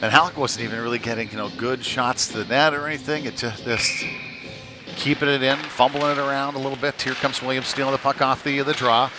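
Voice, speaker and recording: A man's voice: mid-range voice, steady tone, a little fast, music in the background. A man doing live ice hockey play-by-play commentary in a conversational, informal manner. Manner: conversational, informal, tag questions. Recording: clearly noisy venue ambience.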